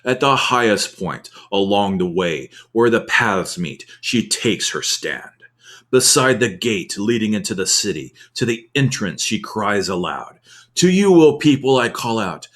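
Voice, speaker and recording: A man reading scripture in an ASMR style, with measured, deliberate phrasing and pauses. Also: lowish voice, very animated, fairly bright tone. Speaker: scripture reader. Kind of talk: soft-paced ASMR Bible reading.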